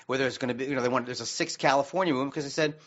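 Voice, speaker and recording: Halting American man on dull-sounding radio. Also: mid-range voice, steady tone, a bit bright. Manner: halting and rambling, often restarting mid-sentence. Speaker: radio show co-host. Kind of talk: casual discussion on a radio show.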